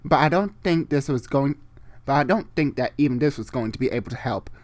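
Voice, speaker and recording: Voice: medium pitch, lively intonation, a little fast. Exasperated reader who rants and swears. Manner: exasperated, swearing, stumbling over the text. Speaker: host doing a dramatic reading. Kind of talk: dramatic story reading with ranting commentary.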